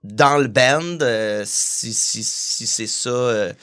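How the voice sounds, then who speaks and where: A man's voice: mid-range voice, takes it slowly, a bit bright, clear, resonant tone. A man with a Quebec French accent, a musician guest in a casual podcast interview, hesitant with many fillers and restarts.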